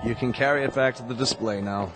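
An actor playing a calm, formal, businesslike clinic proprietor in a radio drama. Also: male voice, mid-range voice, fast, close to an everyday voice. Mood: composed. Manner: calm, formal, matter-of-fact. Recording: music clearly behind the voice.

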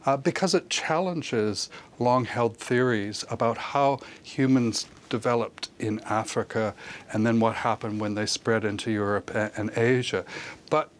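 An older man, a professor, explaining his research in measured academic phrasing as an expert guest in a broadcast interview. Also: medium pitch. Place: television studio, remote link.

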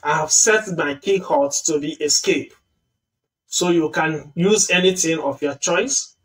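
A man's voice: a little high, measured pace, far from the mic, poor audio. A man hosting a screen-recorded tutorial, patiently explaining each step. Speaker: tutorial host.

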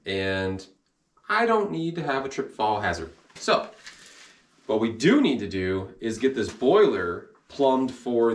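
A man in his forties talking casually to camera as a builder vlogging his work plans, in a colloquial, folksy, self-deprecating way. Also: medium pitch. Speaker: home improvement vlogger, builder. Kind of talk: casual vlog talk about work plans. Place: job site, outdoors and in unfinished house.

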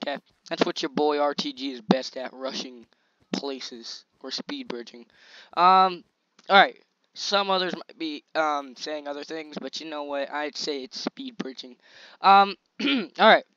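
A young man hosting his own gaming channel, commentating gameplay in a chatty, slangy, excitable way, his voice a little scratchy. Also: fairly high pitch, no room sound, muffled recording. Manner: excitable, chatty, slangy hype while playing. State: slightly scratchy voice.

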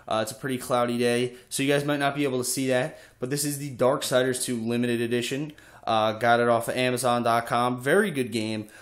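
A young man with a cold and a stuffy nose, chatting casually with lots of "uh" fillers while showing off his game collection in an echoey room at home. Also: medium pitch. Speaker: video game collector vlogger. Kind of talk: casual show-and-tell.

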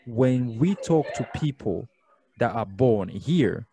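A young man from Congo who speaks French as his first language, hosting a podcast interview through a condenser mic and headphones. He talks haltingly and searches for words, with loose English grammar. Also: mid-range voice, expressive, dark tone. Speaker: podcast host. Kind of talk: casual interview. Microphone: studio condenser mic with headphones.

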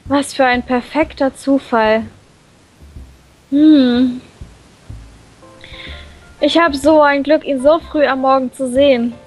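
A woman reading a visual novel aloud in an animated, playful way, breaking off into her own comments. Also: sits high, slow, pauses often, faint music behind, rough recording. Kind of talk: expressive let's play read-aloud.

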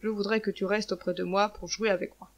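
A woman's voice: medium pitch, flat delivery, fairly quick, lightly acted. A woman voicing a proud but tender eagle in a children's story, earnest and self-questioning. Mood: tender, resolved. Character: proud eagle, king of birds, turned tender. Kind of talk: children's storybook character reading.

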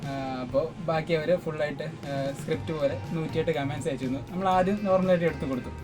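Brief: male, high voice, rapid casual interview delivery, music clearly behind. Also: steady tone. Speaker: interviewee, sound engineer and dubbing artist. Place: small dubbing studio suite.

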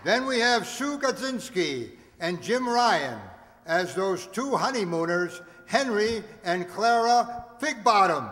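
A man in his seventies reading out cast credits on a stage in a deliberate, theatrical announcer's manner. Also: high voice, expressive, fairly bright tone. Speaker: announcer of a radio players group. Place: stage of a community hall. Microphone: stand microphone on stage, recorded by audience camera. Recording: echoey hall, audience noise, hiss.

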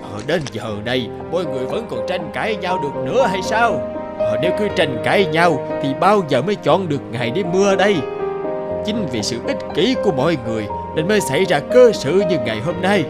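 A villager in an animated folk tale. His voice sits fairly high and swings animatedly, with theatrical emphasis. Loud music plays throughout. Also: fairly quick. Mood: reproachful. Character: villagers, elder and rain god in a drought-struck village.

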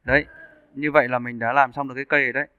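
A man giving a casual, step-by-step software tutorial as an animation instructor. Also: mid-range voice, level tone, very fast, smooth, dry recording. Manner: informal step-by-step explaining. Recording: muffled narrowband phone-like audio.

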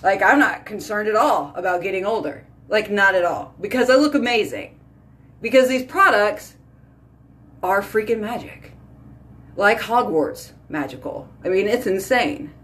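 A woman's voice: mid-range voice, unhurried. Chatty woman in her thirties, y'all-heavy. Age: 30s. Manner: chatty, animated, lots of "like" and "y'all". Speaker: beauty vlogger. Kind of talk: casual chat to camera. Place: small bedroom or bathroom at home. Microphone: phone camera at arm's length.

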